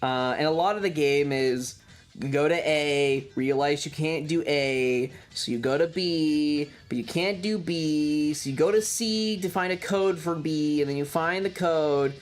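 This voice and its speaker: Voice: mid-range voice, unhurried. A video game streamer chatting casually in a rambling, blunt way that is peppered with swearing. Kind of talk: casual livestream commentary.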